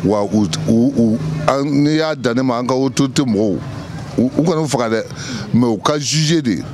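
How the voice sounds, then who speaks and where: Give me a middle-aged Malian man in a street interview, speaking into a handheld mic outdoors, emphatic but halting. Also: mid-range voice, background noise. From Mali. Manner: emphatic, heavy hesitations. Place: outdoors in a courtyard. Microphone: handheld mic held by interviewer.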